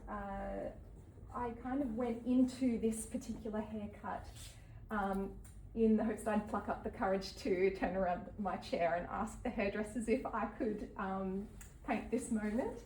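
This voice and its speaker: An Australian woman in her thirties talks about her work at a gallery event, pausing often and filling the gaps with ums. Her voice carries a roomy echo and sounds a little far from the mic. A faint hum of background noise sits under her speech. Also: medium pitch. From New South Wales, Australia. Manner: halting, with many ums and soft laughs. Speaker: artist giving a talk. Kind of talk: unscripted artist talk. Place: gallery event space with an audience.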